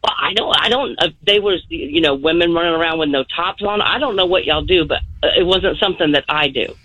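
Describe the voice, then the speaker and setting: Muffled phone caller, middle aged lady w a sharp bright voice, rambling earnest story on radio. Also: fairly low pitch, fairly quick, no room sound. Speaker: radio call-in guest. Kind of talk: casual personal storytelling. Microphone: telephone.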